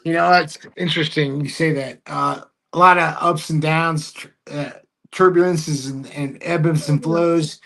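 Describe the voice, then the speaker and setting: A man's voice: fairly high pitch, fairly even intonation, unhurried, vocal fry, a bit bright. A man whose first language is Spanish, a guest on a casual sports podcast over a video call, gushing with thanks and joking around.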